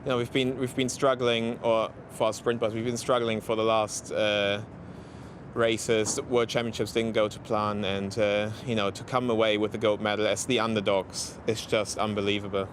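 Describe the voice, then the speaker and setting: Athlete, male, German accent, low-pitched, halting with fillers, outdoor street noise behind. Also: little pitch movement. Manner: halting, many fillers and restarts. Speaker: interviewed cyclist. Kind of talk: post-race interview.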